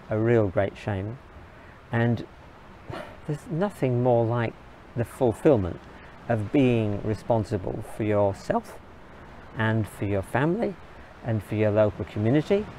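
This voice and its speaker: A man from England, giving an earnest, measured opinion monologue in short, halting phrases. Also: on the low side, animated, dark tone, a little hiss or hum, low-quality recording. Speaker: video blogger.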